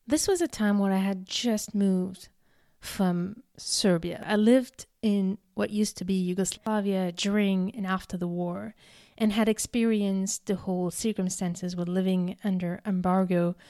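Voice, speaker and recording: Woman, 30s, from Algeria, delivering a personal talk; earnest and deliberate, warm timbre, dry recording. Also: mid-range voice, no echo. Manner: earnest, deliberate, with emphatic repetitions. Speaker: speaker giving a talk. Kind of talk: prepared personal storytelling speech.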